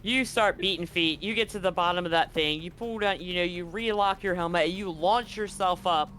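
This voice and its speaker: A man's voice: high-pitched, normal speed, sharp tone. A man improvising casual, sweary narration as game master of a tabletop game. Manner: casual, profane. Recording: music behind the voice. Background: music.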